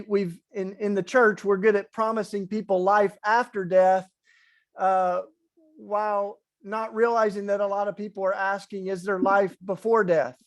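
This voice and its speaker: A man with a marked East Tennessee accent and a notably high voice. He talks in an earnest, reflective, conversational way as a webinar panelist. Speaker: webinar panelist, faith organizer. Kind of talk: online panel talk.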